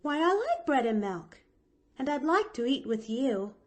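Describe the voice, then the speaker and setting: A woman's voice: sits high, sing-song, measured pace, pitched above the performer's own voice, full character voice. A woman voicing a cheerful, innocent little girl in a storybook, with an eager, earnest, gushing manner.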